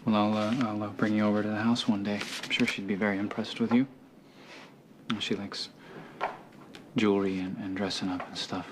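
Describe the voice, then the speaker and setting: An actor playing a reserved, awkward young husband in a TV soap, speaking in a halting, subdued way. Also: male voice, fairly low pitch, little pitch movement, close to an everyday voice. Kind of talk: television soap opera acting. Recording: clearly noisy TV soundtrack.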